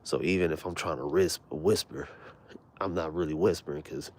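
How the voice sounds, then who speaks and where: A young man with a very deep, low voice talks in an unhurried, rambling way, cursing freely as he tells stories. He keeps his volume down so nobody in the house wakes, but his deep voice still carries. It sounds like a casual late-night vlog recorded at home. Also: darkish tone. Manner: laid-back, rambling, profane, speaking low and near a whisper at times. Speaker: vlogger.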